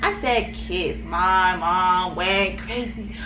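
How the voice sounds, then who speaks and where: A woman co-hosting a casual chat, telling stories in an animated way and acting out family voices. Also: fairly low pitch, takes it slowly. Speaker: co-host. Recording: echoey room with steady background noise.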